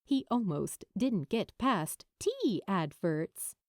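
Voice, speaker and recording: A woman reading fiction as an audiobook narrator, with expressive, deliberate, dramatic phrasing. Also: medium pitch, no echo, clean studio sound.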